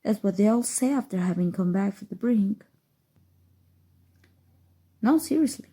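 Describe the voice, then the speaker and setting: A woman voicing a smug, teasing, coolly menacing evil sorcerer in an ASMR roleplay, speaking softly and deliberately with breathy drifts into whispering. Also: medium pitch, lively intonation. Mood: sly, measured. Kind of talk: ASMR roleplay, scripted character monologue.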